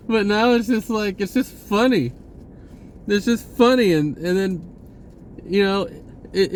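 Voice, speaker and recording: A man speaking in a casual, rambling spiritual monologue, halting and drifting in an informal way. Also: fairly high pitch, a little mellow, slight background noise. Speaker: spiritual vlogger.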